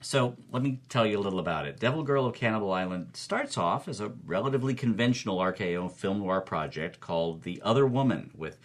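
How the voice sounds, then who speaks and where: Animated, low-voiced man telling a story. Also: a little fast, hardly any pauses. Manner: emphatic, wry, punchy repetition. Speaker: podcast host, film club organizer. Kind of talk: lively storytelling narration.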